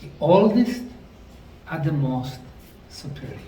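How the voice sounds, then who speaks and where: A middle-aged man slowly narrating a documentary on location at ancient ruins, explaining the sites like a tour guide. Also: fairly high pitch, animated, mellow, a little hiss or hum. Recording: roomy, distant mic with faint outdoor ambience.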